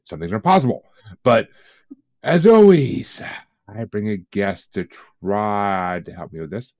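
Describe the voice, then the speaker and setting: A low-voiced man hosts a loose, joking podcast chat, cursing freely and laughing along. His sound is dull and lo-fi, with a dry, close feel and no room around it. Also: animated, measured pace, darkish tone, no echo. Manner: swears a lot, joking and laughing. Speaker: podcast host.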